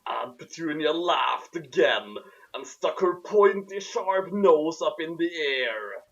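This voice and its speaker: A man's voice: on the high side, expressive, slow, fairly bright tone, breathy edge, dull audio. A man reading a story aloud in a comic, dramatic way and commenting on it. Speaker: narrator and commentator.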